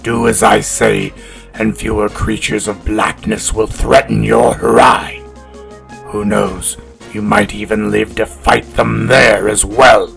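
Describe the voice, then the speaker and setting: An actor playing a proud, scornful alien cat warrior in an audio drama, speaking formally and with command. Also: male voice, on the low side, level tone, slow pace, gravelly, fairly bright tone, heavily acted. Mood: stern, menacing. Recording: faint music behind.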